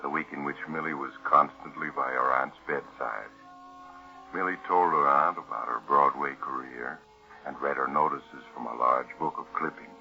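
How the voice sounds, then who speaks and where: An extremely deep, forceful storyteller with an ominous, mysterious air. He narrates in forties radio style over music. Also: full character voice. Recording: muffled vintage radio recording.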